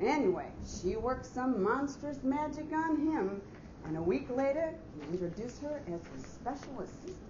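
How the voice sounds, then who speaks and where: A gossipy female assistant played by a woman confides sympathetically in a high, very varied voice, in a staged reading. The recording is roomy and echoing. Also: lively intonation, normal speed. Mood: gossipy, annoyed. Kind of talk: staged dramatic reading of a short story. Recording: muffled, noisy, echoey hall recording.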